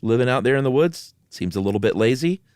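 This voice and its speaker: A man's voice: medium pitch, expressive, fast talker, darkish tone. A man hosting a podcast in an easygoing way, moving between casual banter and dramatic story reading. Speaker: podcast host reading horror stories aloud.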